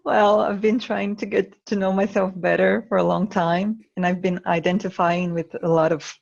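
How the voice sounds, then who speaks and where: A woman's voice: mid-range voice, fairly even intonation, normal speed, gravelly, darkish tone. A woman from Brazil with a Brazilian Portuguese accent, a non-native English speaker, calling in hesitantly with nervous laughs. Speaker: caller. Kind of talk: casual call-in conversation. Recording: slightly dull call audio.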